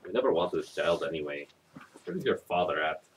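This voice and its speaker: A man's voice: a bass voice, animated, fairly quick, far from the mic, noisy background, voice pushed down. A man voicing a bitter, neglectful mother who grumbles sarcastically and swears in a mock storybook reading. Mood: resentful, dismissive. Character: bitter, neglectful, foul-mouthed mother scolding her son.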